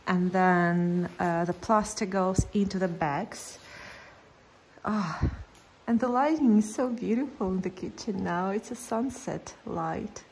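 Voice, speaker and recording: A woman's voice: mid-range voice, darkish tone, slight background noise, low-quality recording. Chatty woman filming on her phone. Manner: chatty, cheerful, laughs often. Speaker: renovation vlogger. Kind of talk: casual vlog narration. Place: outdoors on a lakeside hill, then an empty house under renovation. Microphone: phone held at arm's length.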